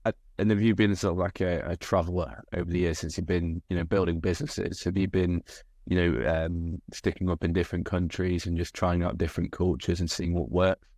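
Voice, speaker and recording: A man's voice: low-pitched, little pitch movement, brisk, a little mellow, no echo, studio quality. A young man hosting an interview over a video call, asking long rambling questions full of "you know" and restarts. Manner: rambling questions with many fillers. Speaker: podcast host. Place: indoor room.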